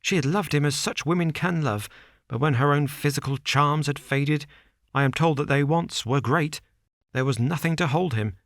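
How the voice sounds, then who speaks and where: A man puts on a jittery, frightened estate manager, pitched well above his usual low voice and pushed louder. He spits out short, breathless sentences as if he has no time. The recording is close and dry. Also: normal speed, no room sound. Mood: agitated. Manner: gasping short agitated sentences.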